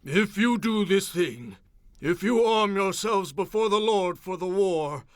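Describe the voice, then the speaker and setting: A stern biblical leader, speaks in a high-pitched voice for a man, close and dry. He delivers solemn, forceful oratory in measured phrases, as one commanding his people in an audio Bible drama. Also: expressive, dry recording.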